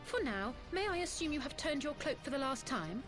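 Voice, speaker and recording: High-voiced noble warrior woman. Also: very animated, over music. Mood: tender reproach. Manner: formal, measured, commanding. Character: noble, resolute female warrior leader. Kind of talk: video game voice acting.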